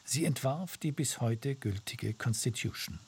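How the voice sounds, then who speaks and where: A man reading scripted documentary narration in an even, measured way. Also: mid-range voice, breathy edge.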